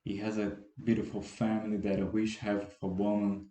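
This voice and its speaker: An eighteen-year-old Brazilian man with a Brazilian Portuguese accent and a very dark, mellow voice that sits a little low. He speaks English as a second language, halting and self-deprecating, with frequent restarts. His voice echoes around a bare room. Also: monotone. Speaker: podcast host. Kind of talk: casual solo podcast monologue. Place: room at home, dogs barking outside.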